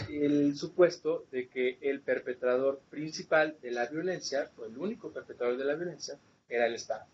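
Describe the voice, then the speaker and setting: A man leading an academic seminar, explaining didactically and checking in with rhetorical questions. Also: mid-range voice. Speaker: lecturer leading a seminar session. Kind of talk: academic lecture with questions to participants. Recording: muffled, noisy room recording.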